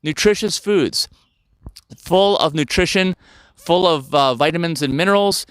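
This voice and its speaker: A man's voice: on the high side, a little slow, clear, resonant tone, no echo. A man in his fifties talking casually to viewers outdoors in the woods, rambling and often turning to them with questions. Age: 50s. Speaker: health channel host. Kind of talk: casual talk to camera.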